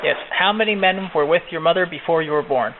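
A man making a prank phone call, asking absurd survey questions in a deadpan, mock-official way. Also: fairly high pitch, fast talker. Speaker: prank caller. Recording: phone-like sound, faint noise.

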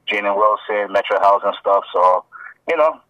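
A man's voice: fairly low pitch, animated, moderate pace, bright, forceful tone, no echo. Laid-back man on a distant phone line. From Toronto. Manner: laid-back, friendly, lots of fillers. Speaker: rapper and guest co-host. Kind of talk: casual podcast chat. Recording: distant-sounding phone call.

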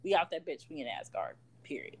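A woman in her twenties from Chicago, co-hosting a casual fan podcast, bubbly and quick to laugh. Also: husky. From Chicago, Illinois. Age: 20s. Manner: bubbly, laughs a lot, animated swings in pitch and volume. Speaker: podcast co-host. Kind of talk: casual chat.

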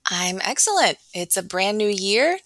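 A woman co-hosts a casual podcast, cheerful and animated. Her voice is bright with a lively pitch. The audio is close and dry. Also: unhurried, no echo. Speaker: podcast co-host.

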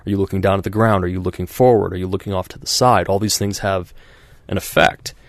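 A man's voice: deep voice, fairly even intonation, fast, no echo, clean studio sound. A man hosting a podcast in casual chat, rambling with many fillers and restarts and acting out quoted voices. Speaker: podcast host.

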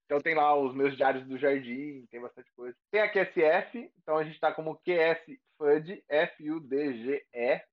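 A man's voice: mid-range voice, lo-fi sound. A man with a Brazilian Portuguese accent, a chef guest chatting casually on a podcast, rambling and correcting himself with a playful streak.

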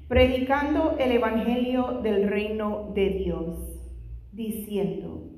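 A woman preaching a Bible study in Spanish, her second language, sprinkling in frequent hallelujahs and praises, fervent in prayer. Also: a little high. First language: not Spanish. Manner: frequent "aleluya" and "gloria a Dios" interjections; fervent prayer, louder and faster. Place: large echoey church hall. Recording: echoey hall with long reverb.

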